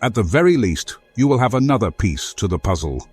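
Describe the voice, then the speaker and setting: A man playing a courteous, earnest former foe in a fantasy game, speaking formally and deliberately as he offers help. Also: lowish voice, sing-song, a little mellow, breathy edge, faint music behind. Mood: intrigued, helpful. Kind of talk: video game character dialogue.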